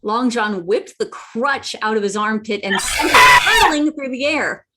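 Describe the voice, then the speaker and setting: A plucky boy adventurer played by a woman tells his tale in a radio play. The voice is fairly high and very animated, with earnest storytelling delivery. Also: measured pace, noisy background. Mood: tense. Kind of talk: radio play narration and acting. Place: indoors, remote online reading.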